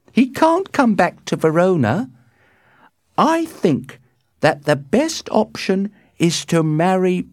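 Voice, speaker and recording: A performer voicing a well-meaning female nurse in a storybook reading, speaking slowly and deliberately with practical advice. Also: sits high, no room sound, studio quality, pitched above the performer's own voice, exaggerated voice. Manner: slow, deliberate.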